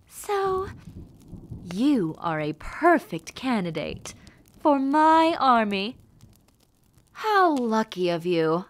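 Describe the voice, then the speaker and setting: A woman playing a haughty, menacing rebel mistress in a fantasy audio roleplay, speaking formally and condescendingly to the listener with theatrical pauses. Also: medium pitch, dry recording. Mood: persuasive, flattering. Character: haughty, menacing villainess, rebel mistress with sweet cruel poise.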